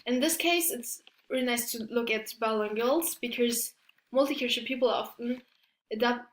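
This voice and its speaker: A woman giving a prepared academic presentation as a student, halting and stumbling over her words. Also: on the high side. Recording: echoey room.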